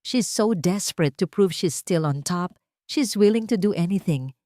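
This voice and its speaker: A calculating, ambitious businessman who is cold, smug and deliberate, with English woven into his lines. A woman reads him, low-pitched, in a dry, close recording. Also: lively intonation, warm tone, no room sound. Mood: scheming. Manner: coldly scornful, deliberate.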